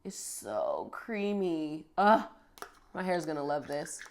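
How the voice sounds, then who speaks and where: A woman's voice: sits low, sing-song, slow pace, darkish tone. A young woman with a congested voice from a cold, chatting casually through her hair-care routine at home. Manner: chatty, upbeat, walks through each step. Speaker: beauty vlogger.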